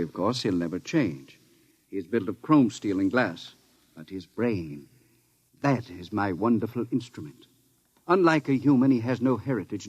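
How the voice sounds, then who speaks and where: Husky older secretive professor. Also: male voice, medium pitch, darkish tone, no echo. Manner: formal, pedantic. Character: secretive, proud professor, guarded inventor, older man. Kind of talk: 1950s radio drama acting.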